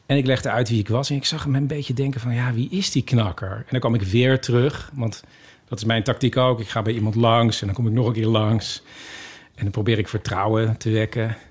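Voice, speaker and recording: A man's voice: mid-range voice, steady tone, fairly quick, slightly rough. A man, a podcast guest telling anecdotes in a modest, informal way. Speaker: podcast maker, guest on the show. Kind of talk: casual chat, telling anecdotes.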